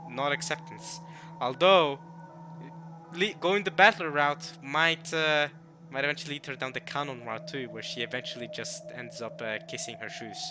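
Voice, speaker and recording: Male streamer, non-native English, casual visual novel commentary, repeats himself and gets excitable, clear music behind. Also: mid-range voice, normal speed. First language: not English. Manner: rambling, repeats and rephrases himself, excitable bursts. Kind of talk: casual let's-play commentary with reading aloud. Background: game music.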